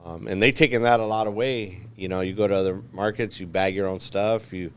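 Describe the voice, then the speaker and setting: A man's voice: lowish voice, fairly even intonation, brisk, smooth. An American man hosting a podcast in a casual, informal chatty style. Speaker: podcast host. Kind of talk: casual chat.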